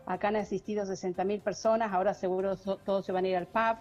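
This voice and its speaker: A woman's voice: mid-range voice, level tone, quick pace, a little mellow, highs cut off, soft background music. A woman scientist joining a live TV news interview remotely, blunt and using filler words. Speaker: guest scientist.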